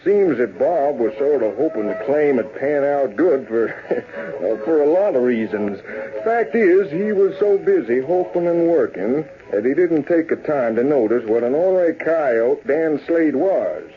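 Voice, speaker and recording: A man's voice: fairly high pitch, expressive, measured pace. A man playing a folksy American old-west storyteller in an old-time radio western, speaking in a colloquial drawl. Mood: folksy, relishing. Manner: drawling, colloquial, chuckling cowboy yarn-spinning. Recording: tinny, noisy old radio broadcast recording.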